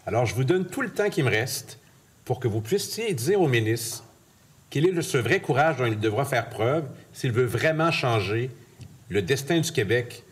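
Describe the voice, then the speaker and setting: A man's voice: mid-range voice, animated, normal speed, husky. A man with a Québécois accent, a legislator handing his time to a witness with a pointed question. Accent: Québécois French.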